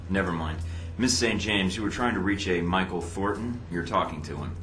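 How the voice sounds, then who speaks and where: A man's voice: low voice, moderate pace, fairly bright tone. An actor playing a terse, dry-witted, guarded male spy operative in a video game drama, with sardonic control. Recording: music playing behind the voice.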